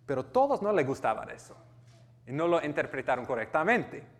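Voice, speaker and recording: A man's voice: on the high side, sing-song, a little fast, big-room sound. A man with an American accent preaching a sermon, reading scripture and explaining it earnestly with frequent restarts. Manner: earnest, with restarts and self-corrections. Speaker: pastor.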